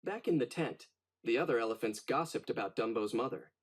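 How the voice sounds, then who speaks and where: A man narrating a children's storybook in an expressive, dramatic read-aloud style. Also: mid-range voice, fairly quick, breathy edge.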